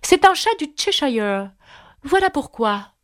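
A woman voicing a rude, bossy old duchess with a raspy voice in a storybook reading. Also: sits high, sing-song, slow, voice pushed up, full character voice. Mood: snappish. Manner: abrupt, snapping, sing-song when chanting. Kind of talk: audiobook character voice.

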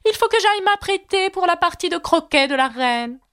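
A woman doing a raspy bad-tempered duchess. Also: very high voice, expressive, moderate pace, a bit bright, voice pushed up. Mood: sing-song. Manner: abrupt, snapping, sing-song when chanting. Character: bad-tempered rude old duchess, harsh and bossy. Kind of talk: audiobook character voice.

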